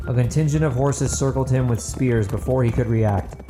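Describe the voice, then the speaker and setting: Male audiobook narrator, medium pitch, dramatic fantasy storytelling, music in background. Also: moderate pace.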